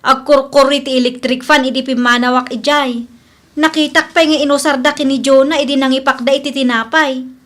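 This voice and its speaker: A woman's voice: sits high, fairly even intonation, brisk, a bit bright. A woman playing an aggrieved young worker and younger sister in a radio comedy drama, with a complaining manner.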